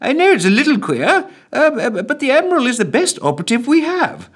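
A man's voice: very high voice, wildly swooping pitch, brisk, a bit bright, voice pushed up, exaggerated voice. A man voicing a nervous, fussy male defence secretary who stammers. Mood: anxious. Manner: hesitant, stammering.

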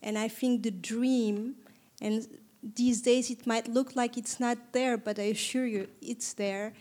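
A woman from Turkey in her thirties, speaking to an audience in a reflective way, with frequent pauses and restarts. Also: medium pitch, darkish tone. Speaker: panel speaker. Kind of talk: conversational talk to an audience.

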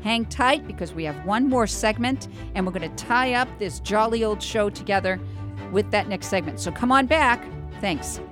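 A middle-aged American woman hosting a talk radio show in a chatty, animated way with emphatic asides and rhetorical questions. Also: mid-range voice, normal speed, over music. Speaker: radio host.

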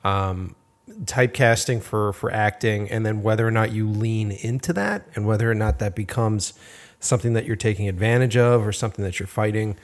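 A man with a somewhat low voice hosts a relaxed interview. He talks loosely, with plenty of fillers and the odd casual curse word. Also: brisk. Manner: laid-back, swears casually, filler-heavy. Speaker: podcast host and film director.

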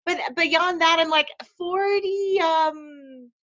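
High bright-voiced podcast host woman. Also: takes it slowly. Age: 40s. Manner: chatty, agreeable, self-deprecating. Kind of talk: podcast interview conversation. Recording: dull lo-fi audio.

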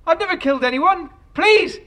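Hapless jilted husband, high-pitched and animated. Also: male voice, normal speed, exaggerated voice. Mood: alarmed, bewildered. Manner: muttering to himself, then blustering. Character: hapless, aggrieved jilted husband, bewildered and exasperated. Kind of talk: comedy audio drama acting.

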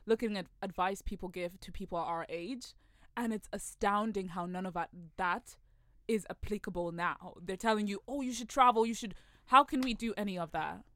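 A woman's voice: mid-range voice, moderate pace, fairly bright tone, no echo, studio quality. A woman in her twenties chatting casually and candidly, with slang and swearing. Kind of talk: casual group chat.

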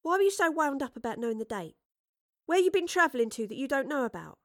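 A woman's voice: high voice, sing-song, fast, no echo, studio quality, pitched above the performer's own voice. A woman playing a cheeky, feisty young Tudor tavern girl in an audio drama, brash and colloquial. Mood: agitated, puzzled.

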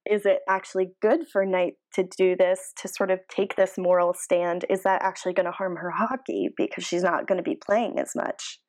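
A woman's voice: fairly low pitch, fairly even intonation, normal speed, hardly any pauses, a little mellow. A woman co-hosting a podcast, casually discussing sports and giving reasoned opinions. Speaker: podcast co-host.

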